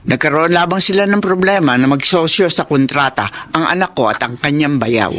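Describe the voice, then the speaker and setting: A man's voice: fairly high pitch, expressive, moderate pace, a bit bright. A man with a Filipino accent playing an older, admonishing, protective parent of a grown son in a radio drama. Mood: defensive, reproachful. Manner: preachy, emphatic.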